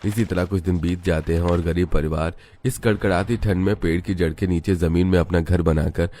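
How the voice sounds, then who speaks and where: A man's voice: low voice, steady tone, fairly quick, dark tone, slight background noise. A man giving scripted narration for a Hindi cartoon story.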